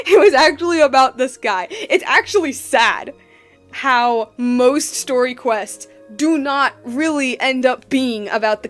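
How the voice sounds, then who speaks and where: High voiced gamer lady rambling casually, slangy, faint music behind her. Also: a bit bright. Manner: informal, slangy, rambling, emphatic repetition. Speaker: video game streamer. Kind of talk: casual gameplay commentary.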